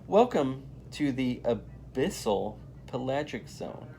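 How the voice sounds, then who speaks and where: A reader playing a knowledgeable woman science teacher from a children's storybook. The delivery is calm and explanatory, in a middle pitch with faint noise. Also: takes it slowly, creaky, heavily acted. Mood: calm, explaining.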